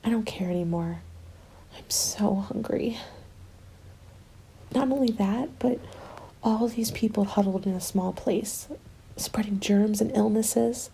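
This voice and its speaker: A woman playing a starving, bitter former nurse surviving a zombie apocalypse, in a soft, hushed ASMR roleplay that drifts into whispers. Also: medium pitch, slow, pauses often, slight background noise, poor audio. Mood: desperate, resolute. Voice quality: creaky, soft. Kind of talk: ASMR dramatic roleplay monologue. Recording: music under the voice. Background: music.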